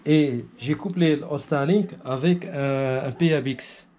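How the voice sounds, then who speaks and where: A man's voice: a little high, measured pace, mellow. A man from Morocco, an amateur radio operator giving an informal technical demonstration while searching for words.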